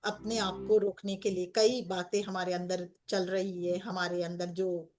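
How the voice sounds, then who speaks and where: A woman with an Indian accent leading a guided meditation as a coach, speaking in gentle, repetitive phrases with pauses. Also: lowish voice, flat delivery. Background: background music.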